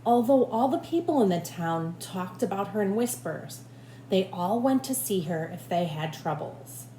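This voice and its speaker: A woman reading a storybook aloud like a teacher, with expressive, deliberate phrasing for children. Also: mid-range voice. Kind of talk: storybook read-aloud narration. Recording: echoey room, faint background noise.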